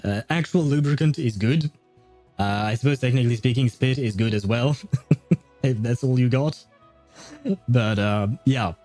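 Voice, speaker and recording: Male livestream host, relaxed and dark-toned, jokey, hesitant conversational delivery over faint background music. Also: mid-range voice, moderate pace, long pauses. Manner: rambling, hesitant, jokey, often chuckling. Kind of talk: casual gaming livestream chat, reading and answering chat messages.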